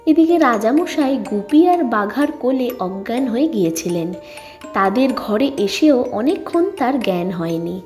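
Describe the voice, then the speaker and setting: High-voiced woman storyteller over music. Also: expressive, unhurried. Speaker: story narrator. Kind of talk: expressive scripted story narration. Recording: loud music behind the narration.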